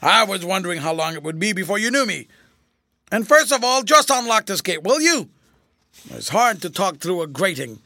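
Guy reading a story as a whiny old army tramp, high sharp voice, wheedling n rough talking, bit nasty. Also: big pitch swings, brisk, no room sound, clean studio sound, heavily acted. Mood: gruff, sardonic. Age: older. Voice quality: whining. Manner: wheedling, rough colloquial speech. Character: whining, cringing old ex-soldier tramp with sly malice.

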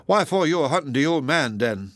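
Man acting out excitable high-voiced old cook. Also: moderate pace, dry recording, clean studio sound. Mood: doubtful, wary. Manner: wailing, exclamatory, heavy dialect speech. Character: excitable old male ship's cook, fearful, wailing, devout.

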